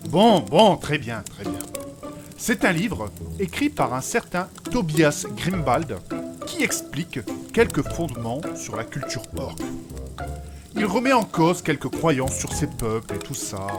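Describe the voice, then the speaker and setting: A man plays a bookish fantasy scholar, speaking with animated, swooping pitch. He is formal, prim and long-suffering, in a comic audio drama with music behind him. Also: a little slow. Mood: flustered, then earnest. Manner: formal, prim, hesitant, exasperated.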